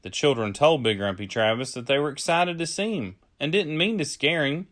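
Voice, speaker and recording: A man reading a children's story aloud as a storyteller, with deliberate phrasing. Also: on the high side, a bit bright. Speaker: storyteller, early childhood educator.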